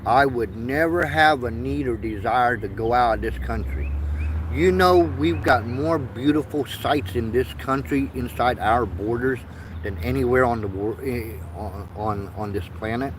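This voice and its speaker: A man in his fifties, born in Vietnam and raised in the United States, talks slowly and earnestly to his livestream viewers, pausing and repeating himself as he goes. His pitch sits in the middle range for a man, and faint outdoor noise hums behind him. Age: 50s. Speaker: livestream host. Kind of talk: rambling casual livestream monologue.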